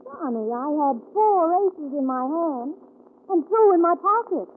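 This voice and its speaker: High-voiced sassy American radio dame. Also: female voice, animated, unhurried. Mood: indignant, cheeky. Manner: sassy, slangy. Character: sassy female gambling sidekick, the Duchess, cheeky and unrepentant. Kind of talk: 1940s radio drama acting. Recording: noisy, tinny old radio recording.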